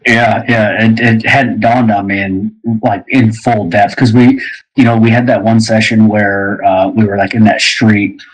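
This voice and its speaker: A man with a husky voice talking casually and chattily as a game player on a podcast. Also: lowish voice, level tone, a little slow, rough recording.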